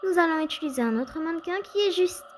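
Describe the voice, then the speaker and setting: A woman's voice: extremely high pitch, animated, fast, slight background noise. A woman voicing a composed, didactic female teacher in an amateur cartoon dub, lecturing her pupils. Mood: calm lecturing.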